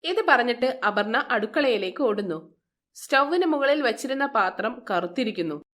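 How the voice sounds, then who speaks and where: A woman narrates a scripted moral tale as its storyteller. Her voice sits fairly high in pitch. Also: lively intonation, moderate pace, a bit bright.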